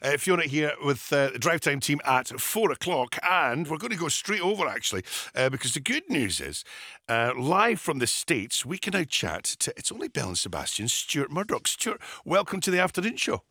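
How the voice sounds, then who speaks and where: A man's voice: a little high, very animated, moderate pace, bright, forceful tone, dry recording, studio quality. A man presenting live radio, chatty and upbeat, bantering with a colleague and a guest.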